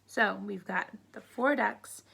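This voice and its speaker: A woman reading picture books aloud to children in a teacherly way, pausing to prompt listeners with questions. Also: mid-range voice, creaky, sharp tone, husky. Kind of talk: read-aloud storybook narration with counting asides.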